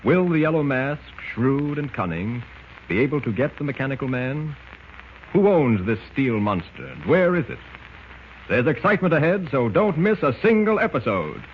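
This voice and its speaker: A man's voice: mid-range voice, sing-song, normal speed. Melodramatic, swooping old-time radio announcer man. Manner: urgent, melodramatic, emphatic. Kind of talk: 1940s dramatic radio announcing. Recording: scratchy, muffled old-time radio recording.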